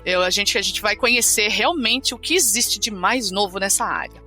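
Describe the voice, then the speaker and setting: Bright, upbeat woman co-host. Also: mid-range voice, normal speed, soft background music. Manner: upbeat, chatty, often adds "né". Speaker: podcast co-host. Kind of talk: casual health podcast chat.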